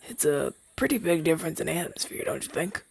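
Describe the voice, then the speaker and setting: A man's voice: on the high side, lively intonation, brisk, vocal fry, husky, exaggerated voice. A young man playing a chatty, down-on-his-luck crow demon, once a witch's familiar, in a hesitant, stammering roleplay audio drama. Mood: curious, friendly.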